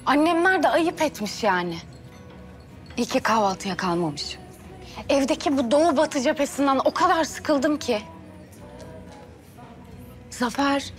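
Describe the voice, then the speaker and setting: An actress playing a dry, teasing older sister in a TV drama, speaking conversationally. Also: female voice, high-pitched, sing-song, unhurried, pauses often. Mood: weary, complaining. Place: restaurant interior. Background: music.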